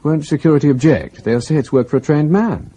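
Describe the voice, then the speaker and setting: A man's voice: on the high side, fairly quick, dark tone, lightly acted. A male actor playing a keen, wry desk intelligence officer in a radio drama, with a questioning manner. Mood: deferential, surprised.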